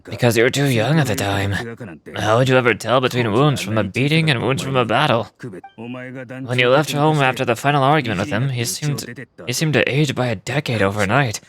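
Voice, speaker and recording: A man's voice: mid-range voice, a little fast, lightly acted. Man acting as a grave desert gang member, crowd behind. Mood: somber. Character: grave, loyal male desert gang member recounting his boss's sacrifice. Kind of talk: amateur voice acting of game dialogue.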